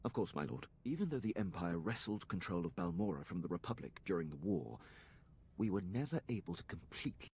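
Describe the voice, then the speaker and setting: Game casting: stern, deferential-to-rank military officer, deep voice, lively inflection, authoritative. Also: male voice, a little fast, vocal fry, slightly rough, heavily acted. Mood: formal, deferential. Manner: formal, clipped, authoritative. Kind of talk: cinematic video game voice acting.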